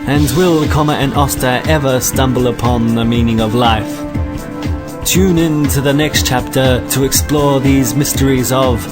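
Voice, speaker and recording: A man narrating as a deadpan galactic guidebook in a scripted sci-fi comedy, measured and explanatory. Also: medium pitch, over loud music, natural voice. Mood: mock-dramatic.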